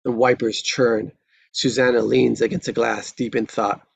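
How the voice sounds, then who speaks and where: Male stage-directions narrator, gravelly and raspy, terse, deliberate dramatic delivery. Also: medium pitch, flat delivery, no echo.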